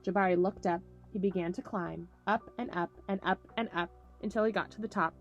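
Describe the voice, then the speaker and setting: A woman's voice: medium pitch, steady tone, a little fast, lo-fi sound. A woman presenting a story time for children, speaking simply and encouragingly, with music behind her. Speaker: camp director reading picture books to children.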